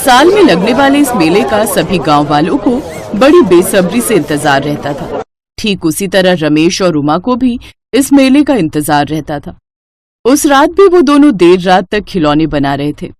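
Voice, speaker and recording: A woman's voice: fairly low pitch, sing-song, measured pace, darkish tone, a faint crowd. A woman narrating a scripted children's story.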